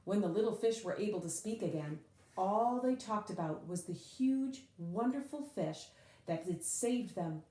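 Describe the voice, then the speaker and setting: A woman reading a children's picture book aloud in an expressive, dramatic way, leaning on key words with drawn-out emphasis. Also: medium pitch, reverberant, poor audio.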